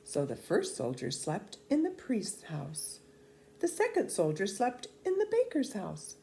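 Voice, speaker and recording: A woman's voice: medium pitch, wildly swooping pitch, a little slow, creaky, dark tone, a little hiss or hum. A woman reading a children's storybook aloud as storyteller, expressively and with dramatic emphasis.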